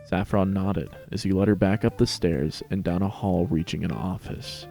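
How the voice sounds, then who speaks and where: A man's voice: deep voice, normal speed, gravelly, dark tone, faint music behind. A man narrating a scripted story as a dramatic reading.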